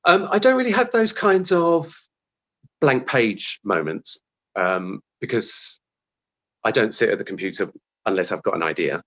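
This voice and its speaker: A man's voice: lowish voice, sing-song, a little slow, a bit bright. A man talking casually as an interview guest about his craft, thinking aloud and restarting his phrases as he goes.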